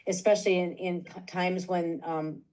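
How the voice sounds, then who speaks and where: Mellow low-voiced woman lecturer. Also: fairly even intonation, normal speed, rough recording. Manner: explanatory, illustrates points with stories. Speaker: guest lecturer. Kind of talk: academic lecture and Q&A answer. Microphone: video call.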